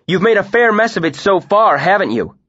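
A man voicing a proud, hot-tempered young man who snaps and shouts in anger. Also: fairly high pitch, lively intonation, moderate pace, muffled recording, pitched above the performer's own voice. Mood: furious.

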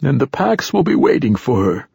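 Ancient male poet, played by a male narrator: raspy, weary yet insistent prophet, pitched well above the narration, for a science fiction audiobook. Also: very animated, normal speed, a little mellow, no room sound, clean studio sound, heavily acted. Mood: grave, urgent. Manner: tired, declamatory.

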